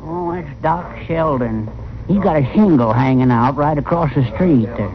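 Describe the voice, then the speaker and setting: An actor playing a jittery, excitable saloon bartender in a radio western. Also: male voice, fairly high pitch, moderate pace, a crowd in the background, close to an everyday voice. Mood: wary. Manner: stammering, excitable. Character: jittery, stammering saloon bartender in the Old West. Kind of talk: 1950s radio western acting. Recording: muffled, noisy old radio recording.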